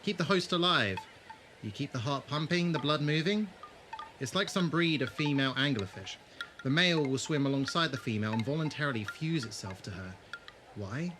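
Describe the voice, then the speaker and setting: An actor playing a grave, resolute adventurer in an audio drama, explaining things deliberately and intensely. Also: male voice, fairly high pitch, expressive, natural voice. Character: grave, resolute adventurer leading his companions. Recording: clearly noisy background ambience.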